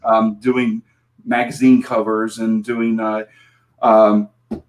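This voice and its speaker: A man's voice: lowish voice, flat delivery, slow, rough recording. Guy in his 40s chatting on a podcast, rambly and self-deprecating, laughs a lot. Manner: rambling, self-deprecating storyteller who laughs often and acts out quotes. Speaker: comic book creator guest. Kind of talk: casual podcast interview.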